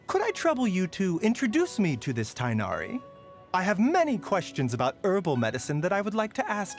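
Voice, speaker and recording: An actor playing a polite, gently wry and weary pharmacist in a video game, with measured, mildly teasing phrasing. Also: male voice, on the high side. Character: soft-spoken, courteous male pharmacist, gently wry and weary. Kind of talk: video game character voicelines. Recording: voice over background music.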